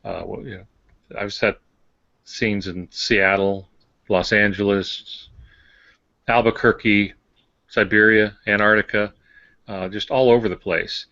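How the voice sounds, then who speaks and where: Male podcast panelist, lowish voice, halting explainer with dry wit, dry lo-fi online audio. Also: fairly even intonation, creaky, pushed and bright, no echo. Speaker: fiction writer, podcast panelist. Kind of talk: casual talk on writing craft.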